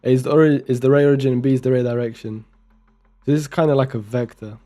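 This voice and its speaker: A man's voice: medium pitch, fairly even intonation, quick pace, dark tone. A young man from Romania, casually commenting while live coding and muttering code aloud as he types, with chatty asides. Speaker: programming streamer.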